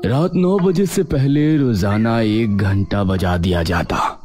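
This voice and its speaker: A male storyteller with a lowish voice reads a scripted horror tale at a very slow, measured pace. Soft music plays behind him. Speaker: narrator.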